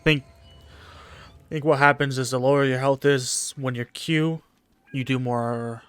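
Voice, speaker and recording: A man's voice: mid-range voice, fairly even intonation, measured pace, fairly bright tone, smooth, dry recording. A man giving casual, slangy let's play commentary, muttering his reactions to himself as he goes. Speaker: gamer commentating his own playthrough.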